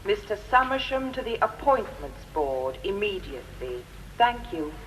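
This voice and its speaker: Formal tannoy announcer. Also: female voice, mid-range voice, sing-song, normal speed. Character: polite female tannoy announcer. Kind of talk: British radio drama acting. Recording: distant announcement, faint noise.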